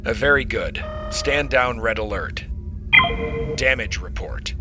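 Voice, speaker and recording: A man playing a brisk, questioning starship captain in a fan audio drama, with music behind him. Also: medium pitch, natural voice.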